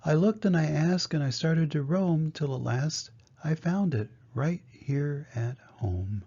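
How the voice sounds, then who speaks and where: A dreamy little storybook boy, played by an older man, earnest and sing-song, pitched a little up. The tone stays soft and husky, and the recording is muffled. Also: slow, full character voice. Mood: wistful, hopeful. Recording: dull, muffled lo-fi sound.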